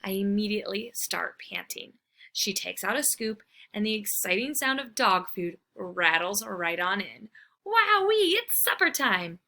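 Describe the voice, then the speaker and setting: A woman reading a children's book aloud, expressive and animated. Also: medium pitch, moderate pace, gravelly. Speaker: storybook reader. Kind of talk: children's read-aloud narration.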